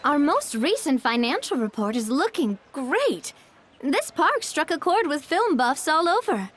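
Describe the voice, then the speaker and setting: A woman's voice: sits high, big pitch swings, a little slow, a little hiss or hum. A woman playing a theatrical, playful young artist in a video game cutscene, dramatically savoring her words. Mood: pleased.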